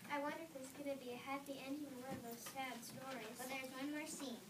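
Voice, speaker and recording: A young woman reading as a dutiful fairytale servant, high-voiced, plain and earnest. The amateur performance is picked up from afar in an echoey room with noise. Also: fairly even intonation, fast, natural voice. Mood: curious. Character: dutiful royal coach driver. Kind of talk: amateur reader's theater performance. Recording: distant echoey noisy room recording.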